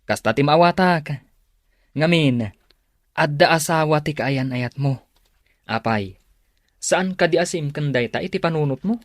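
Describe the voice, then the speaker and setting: A man with an Ilocano accent acting a blunt, animated best friend in a radio drama. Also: on the high side, a little slow, pauses often, dry recording, studio quality, natural voice. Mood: teasing, warning.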